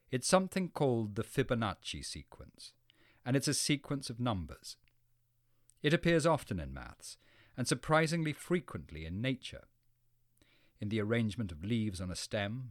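A man with a fairly low voice narrates a scripted educational programme. His delivery is explanatory and clearly phrased, with very lively intonation that rises and falls often. The sound is dry and close, free of room echo.

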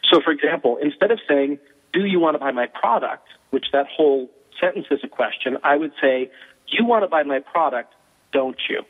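A man's voice: a little high, lively intonation, normal speed, slightly rough. A man explaining persuasion techniques as a guest expert in a phone interview, in a fluent, teaching way with frequent ums. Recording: tinny phone line.

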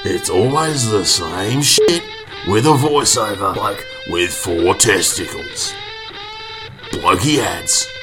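A man putting on a deep-voiced blokey ad announcer. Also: expressive. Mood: sneering. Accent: Australian. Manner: slow, sneering list-reading delivery. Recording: guitar music behind.

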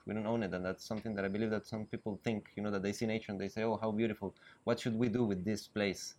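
A man's voice: on the low side, level tone, quick pace, a little mellow. A Costa Rican man with a Spanish accent, a biologist answering interview questions, often restarting his sentences and laughing easily. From Costa Rica. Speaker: guest, biologist. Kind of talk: interview conversation. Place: riverbank outdoors. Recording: outdoors with faint ambience.

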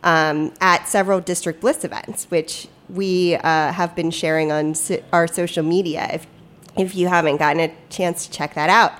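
A woman's voice: on the low side, steady tone, normal speed, a bit bright. A woman hosting a casual podcast chat, upbeat and chatty, a little stuffy with a cold. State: stuffy, slight cough. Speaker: podcast host and producer. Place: hotel studio.

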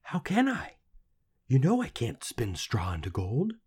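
A man voicing a gentle, anxious young peasant girl with a plaintive, pleading manner, for a storybook. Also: medium pitch, very animated, brisk, voice pushed up, close to an everyday voice. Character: young, gentle, anxious poor weaver's daughter.

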